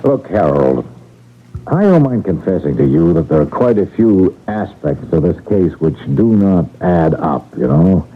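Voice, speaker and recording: An actor playing a shrewd, affable railroad detective with a folksy, commanding manner in an old radio drama. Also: male voice, low voice, big pitch swings, a little slow, full character voice. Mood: measured, confiding. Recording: tinny, narrow radio drama recording.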